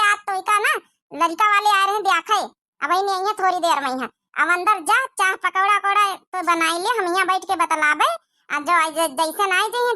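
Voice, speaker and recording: Bossy rural mother for a cartoon woman performer, Awadhi accent, piercingly high, dry sound, homely nagging delivery. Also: pushed and bright, no echo, heavily acted. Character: bossy village mother instructing her grown daughter. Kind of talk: Awadhi comedy cartoon acting.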